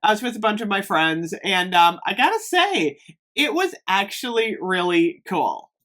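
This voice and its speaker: A woman from Northeast Ohio vlogging casually about fragrances, slightly hoarse, chatty with lots of fillers. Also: medium pitch, very animated, unhurried, fairly bright tone. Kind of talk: casual chat to camera.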